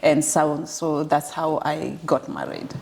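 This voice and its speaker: Deep-voiced woman telling her story slow, lots of ums and pauses. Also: big pitch swings, gravelly, a little mellow, slight background noise, rough recording. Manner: measured, pausing storyteller with frequent fillers. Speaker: interview guest. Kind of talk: personal life story in conversation.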